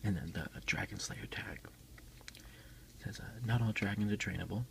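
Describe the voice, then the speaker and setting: A man speaking as an ASMR host, soft-spoken and unhurried, in a casual style. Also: on the low side, vocal fry, heavy background noise. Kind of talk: whispered ASMR unboxing, reading aloud and chatting.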